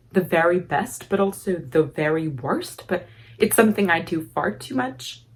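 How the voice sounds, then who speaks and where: A young woman with a low-pitched voice and an Armenian accent talks straight to camera in a casual storytime vlog. She favours formal, elaborate wording, yet her words tumble out impulsively and she scatters "like" between phrases. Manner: formal, elaborate diction with filler "like". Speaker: vlogger.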